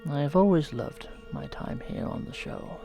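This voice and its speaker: A man voicing a gentle, soft-spoken television painter who has turned menacing, in a horror ASMR role-play. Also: on the high side, lively intonation, vocal fry, faint music behind. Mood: mournful. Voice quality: soft whispery delivery. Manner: slow, soothing, softly menacing. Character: gentle middle-aged male TV painter turned unhinged.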